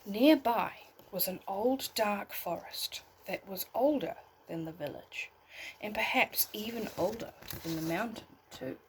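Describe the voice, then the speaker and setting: A woman reading a bedtime story aloud in an expressive way, as if talking to young listeners. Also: mid-range voice, measured pace, a little hiss or hum, poor audio. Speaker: storybook reader.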